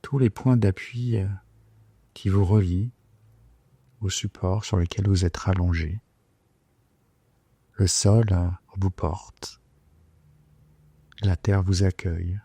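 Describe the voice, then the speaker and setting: A man leading a guided sleep meditation in a soothing, unhurried, hesitant way with long pauses. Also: sits low, steady tone, slightly rough, dry recording. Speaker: yoga nidra guide. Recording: soft guitar music behind the voice. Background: gentle plucked guitar music.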